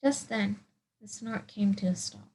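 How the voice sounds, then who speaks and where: A woman with a medium-pitched voice reads a picture book aloud for storytime. She narrates as a volunteer, in simple, clear phrases. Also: measured pace, warm tone, rough recording.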